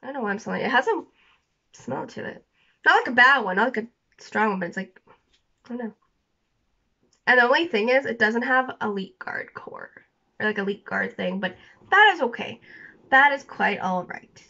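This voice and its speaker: Brief: young woman, sniffly and a little scratchy, bright higher voice, rambling bedroom vlog, dull lo-fi phone audio. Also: expressive, normal speed, creaky, pauses often. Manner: rambling, many fillers and restarts. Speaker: vlogger. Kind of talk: rambling casual chat to camera. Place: small bedroom indoors.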